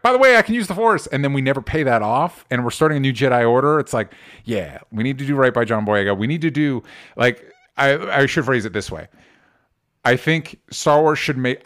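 A young adult man co-hosting a casual pop-culture podcast, rambling and restarting his sentences, with emphatic swearing. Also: medium pitch. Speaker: podcast co-host.

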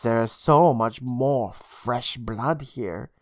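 Reader doing a polite creepy vampire lady, european accent, slow knowing delivery, storybook villain. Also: medium pitch, no echo, clean studio sound, close to an everyday voice. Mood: ominous, hushed. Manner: measured, knowing, courteous.

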